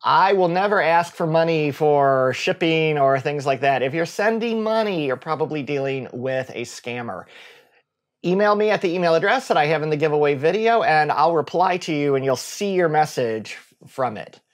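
A man's voice: fairly high pitch, expressive, moderate pace. Middle-aged man, enthusiastic tech vlogger, casual talk to camera, home office. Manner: enthusiastic, gestures with both hands while talking. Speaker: tech YouTuber.

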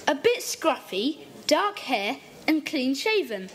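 A woman's voice: high-pitched, sing-song, slow pace, exaggerated voice. A woman voicing a confident boy who leads a children's club in an audio drama, speaking decisively and taking charge. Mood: eager.

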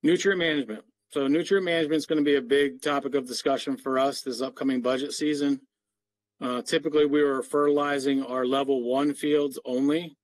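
A man's voice: mid-range voice, steady tone, a little fast, no room sound. Middle-aged man presenting, dry close sound. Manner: explanatory, businesslike. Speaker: parks maintenance official presenting. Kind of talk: slide presentation to a board meeting. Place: indoor video call.

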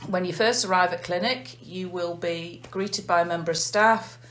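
Measured English woman, low-pitched. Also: fairly even intonation, fairly bright tone, a little hiss or hum. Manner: measured, methodical explaining. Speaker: heart failure clinical nurse manager. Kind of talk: explanatory interview answers.

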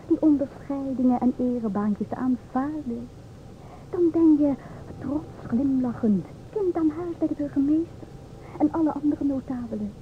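An actress plays a sharp-tongued, assertive woman in a vintage radio drama. Her voice sits fairly high and her delivery is mocking and theatrical. The old recording is thin, with faint noise. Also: lightly acted. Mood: coaxing, mocking. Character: outspoken, sharp-tongued divorced woman lecturing two inspectors. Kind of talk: Dutch radio play acting. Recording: old radio, thin phone-like sound, noisy.

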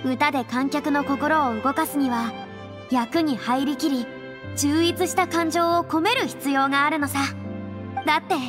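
High-pitched young woman, theatrical, self-assured, anime game, long reverb, soft music behind. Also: fairly even intonation, measured pace. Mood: confident. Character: theatrical young woman, proud former idol of the stage. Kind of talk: Japanese anime game voice acting.

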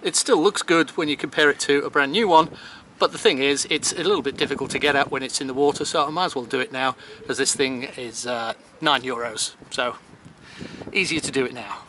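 A man's voice: mid-range voice, fairly quick, bright, forceful tone, slight background noise. A man, narrating his own boat maintenance vlog in a chatty, step-by-step way, filmed on a handheld camera. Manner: chatty, matter-of-fact, explaining boat jobs step by step. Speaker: sailing vlogger. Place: on a sailboat and beside its hull in a boatyard. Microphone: handheld action camera.

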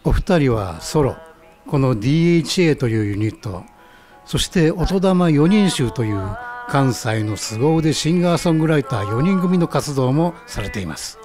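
Genial ramen stall owner, acted by a man, polite explainer, radio play, mid-pitched, faint background music. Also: animated, moderate pace, a little mellow, natural voice. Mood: friendly, informative. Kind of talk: scripted radio skit introducing songs.

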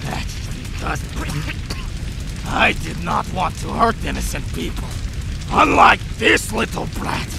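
A man voicing a furious, vengeful horned ex-boyfriend monster in a game mod, seething and menacing in a gravelly, strained, halting voice. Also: on the high side, expressive, over music, full character voice, rough recording. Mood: bitter, strained. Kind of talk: video game mod voice acting.

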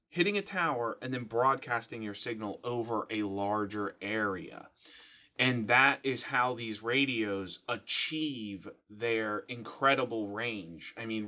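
A man's voice: mid-range voice, sharp tone. A man in his thirties with an American accent, giving a casual, plain-spoken explanation to camera as the host of a how-to channel. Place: small furnished room at home, indoors.

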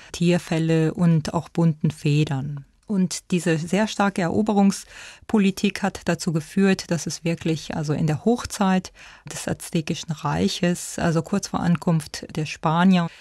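A woman's voice: sits low, mellow, no echo, studio quality. A woman speaking as an interviewed expert, explaining in a spontaneous, informative way. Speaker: ethnologist, museum director, interviewed expert.

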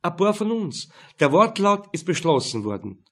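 Man playing a nonchalant student, higher-pitched. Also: very animated, normal speed, husky, exaggerated voice. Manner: friendly, nonchalant. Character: easygoing, unbothered male university student son.

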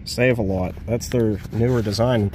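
Man, lowish voice, casual vlog commentary, thinks aloud, handheld phone outdoors, faint background noise. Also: fairly even intonation, moderate pace, darkish tone. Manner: rambling, thinks aloud with fillers. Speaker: urban exploring vlogger. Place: outdoors around an abandoned store. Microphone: handheld phone camera.

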